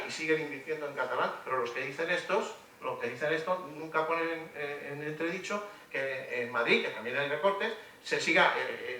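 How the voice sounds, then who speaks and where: A man's voice: fairly high pitch, bright, forceful tone. A middle-aged man giving a university lecture, speaking ironically with many hesitations and restarts. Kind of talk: public lecture. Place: lecture hall. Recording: roomy echo from a distant mic with faint noise.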